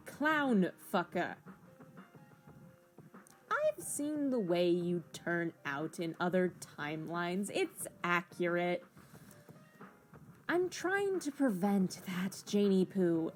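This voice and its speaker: A woman voicing a teasing cat-like sprite in an audio comic drama, drawling slowly as she patiently persuades. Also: lowish voice, exaggerated voice. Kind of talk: hobby voiced comic drama.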